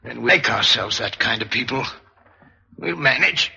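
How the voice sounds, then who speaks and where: An actor playing a scheming, impatient husband in a 1940s radio mystery, speaking in an insistent, bossy way. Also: male voice, medium pitch, animated, normal speed, gravelly, pushed and bright, exaggerated voice. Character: scheming, impatient husband faking his death for insurance money. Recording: muffled vintage 1940s radio recording.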